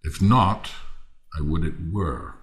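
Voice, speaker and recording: A man's voice: sits low, slow. An actor playing a bitter, ruined Athenian nobleman turned misanthrope in a Shakespearean audio play, theatrical and scornful. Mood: contemptuous.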